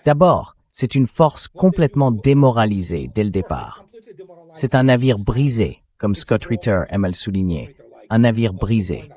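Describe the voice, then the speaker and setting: A man's voice: fairly low pitch, sing-song, measured pace, a little mellow. A man reading a translated interview as a guest commentator, speaking bluntly and emphatically about military affairs.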